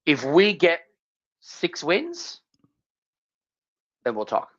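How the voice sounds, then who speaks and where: A man's voice: fairly high pitch, huge pitch swings, very slow, a bit bright. Australian man, emphatic podcast co-host. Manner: emphatic, repeats phrases. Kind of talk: casual sports podcast chat. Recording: slightly dull lo-fi audio.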